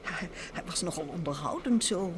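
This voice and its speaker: An actress playing a detective's chatty, concerned wife in an old Dutch radio play. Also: female voice, lively intonation, normal speed, close to an everyday voice. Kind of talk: mid-20th-century Dutch radio play acting. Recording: old radio recording, clear vehicle rumble noise.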